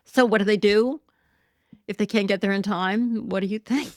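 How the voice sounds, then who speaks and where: A woman's voice: medium pitch, fairly even intonation, moderate pace, darkish tone, no room sound, studio quality. A woman hosting a solo podcast, talking through news in a chatty, wry way with many ums and self-corrections, her voice a bit worn. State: losing her voice. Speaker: podcast host. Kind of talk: casual solo commentary podcast.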